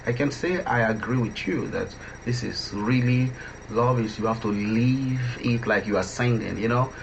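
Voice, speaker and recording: A man hosting an interview, speaking in a halting, conversational way and often repeating his words. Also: mid-range voice, vocal fry. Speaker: interviewer, radio drama producer. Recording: dull lo-fi audio with faint noise.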